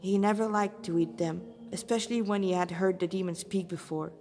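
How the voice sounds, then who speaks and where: A woman's voice: fairly low pitch, steady tone, fairly quick, darkish tone, big-room sound. A woman with a non-native English accent, an amateur narrator reading a story dramatically and sometimes stumbling over words. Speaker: amateur fan fiction narrator.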